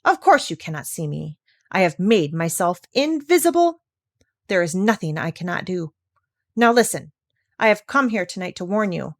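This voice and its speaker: A woman's voice: on the low side, big pitch swings, moderate pace. A woman voicing a storybook parrot who impersonates a boastful male doctor, pompous and threatening. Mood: grand, threatening. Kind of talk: storybook read-aloud character voice.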